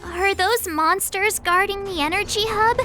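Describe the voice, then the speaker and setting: A woman voicing a bubbly, eager young female companion in a fantasy video game, with chirpy, expressive delivery. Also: very high voice, unhurried. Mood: bright, eager. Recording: game music playing behind.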